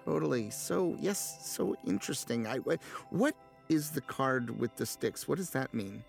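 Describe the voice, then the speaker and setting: An actor playing a nervous, smitten, hapless low-level ad man in an audio drama, rambling and scolding himself. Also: lively intonation, moderate pace, vocal fry, faint music behind. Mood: flustered. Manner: flustered, rambling, self-scolding. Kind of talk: audio drama acting with confiding inner monologue.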